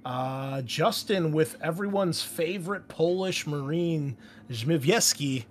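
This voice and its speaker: A man's voice: a little high, slow pace, a little hiss or hum. A man acting as game master and host, chatting casually as he walks players through a post-game debrief.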